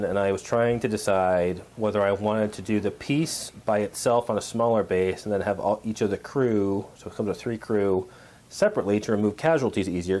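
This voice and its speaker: Hoarse man with a cold, halting delivery. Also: lowish voice, a little mellow. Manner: halting, many uh and um fillers. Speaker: miniature painting hobbyist. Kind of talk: casual show-and-tell vlog.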